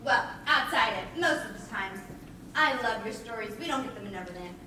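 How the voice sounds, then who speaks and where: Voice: medium pitch, animated, fairly quick, recorded from a distance, lightly acted. An actor playing a boastful, eager, mischievous boy who never grows up, in a theatrical stage play style. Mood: earnest, charming. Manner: exuberant, cocky, persuasive. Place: theatre stage, recorded from a distance. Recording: echoey stage recording from afar, faint noise.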